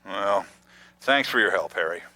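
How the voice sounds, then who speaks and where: Forceful, dry-sounding police detective. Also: male voice, low-pitched, expressive, measured pace, no echo, full character voice. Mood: matter-of-fact. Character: matter-of-fact male police detective, firm and steady. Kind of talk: radio detective drama acting.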